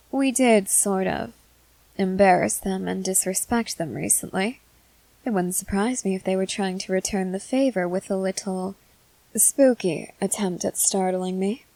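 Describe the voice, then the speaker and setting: A woman plays a haughty, self-possessed schoolgirl in an ASMR roleplay. She speaks formally and deliberately at a medium pitch, with a sardonic edge. The sound is dry and close, with no room echo. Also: creaky, a little mellow. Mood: wry, musing. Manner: formal, articulate, measured speech with deliberate pauses. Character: composed, haughty junior high schoolgirl, amused and sardonic. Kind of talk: scripted ASMR roleplay monologue.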